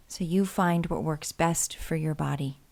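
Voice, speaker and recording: A woman with a slightly low voice guides a body scan meditation. She speaks gently and soothingly, taking her time and leaving space between phrases. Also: fairly even intonation, gravelly. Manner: soothing, gentle, unhurried, with pauses.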